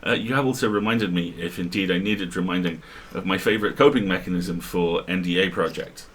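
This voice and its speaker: Male co-host, lowish and very husky, wry casual banter. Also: a little fast, fairly bright tone.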